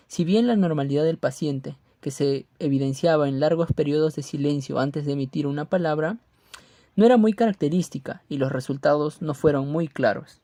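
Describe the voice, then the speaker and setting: A man delivers formal, scripted educational narration, reading aloud. His voice sits slightly high and is soft-edged and mellow, recorded close and dry. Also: no echo.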